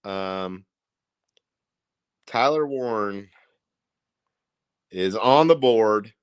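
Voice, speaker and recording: A man with an Indiana accent hosting a casual livestream, talking in a rambling, profane, excitable way. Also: on the low side, very slow, clean tone, no echo. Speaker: livestream host.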